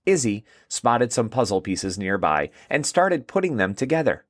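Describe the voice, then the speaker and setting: A man's voice: medium pitch, very animated, normal speed, dry recording, clean studio sound. A man narrating a scripted children's story in storybook style.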